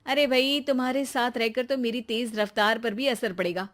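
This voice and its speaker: A woman's voice: fairly high pitch, fairly even intonation, normal speed, lightly acted. A woman voicing a brash, boastful, impatient young daughter-in-law in a children's cartoon story. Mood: teasing. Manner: teasing, bragging, impatient. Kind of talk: children's animated moral story.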